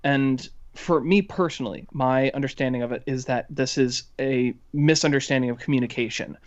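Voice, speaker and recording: A man co-hosting a podcast, giving casual criticism in a halting, analytical way. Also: mid-range voice, highs cut off.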